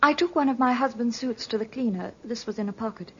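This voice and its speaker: An actress playing a reserved, suspicious wife, speaking in a formal, halting manner in 1950s radio drama style. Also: female voice, fairly high pitch, fairly bright tone, slight background noise. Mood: guarded, hesitant. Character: reserved, hesitant wife who suspects her husband. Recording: muffled vintage radio recording.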